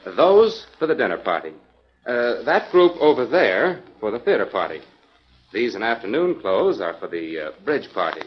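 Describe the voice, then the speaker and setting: Husky, lively-pitched obliging shop window decorator. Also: male voice, moderate pace. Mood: polite, busy. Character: obliging, fussy department store window decorator man. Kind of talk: 1940s radio drama acting. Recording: dull, lo-fi 1940s radio recording.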